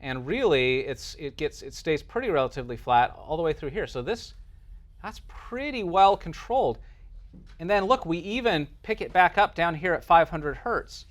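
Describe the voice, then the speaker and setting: A man's voice: a little high, expressive, normal speed. A man teaching a class in an informal, explanatory way, walking through technical material and checking in with listeners as he goes. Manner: explanatory, checks in with listeners using right and okay. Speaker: teacher, lecturer.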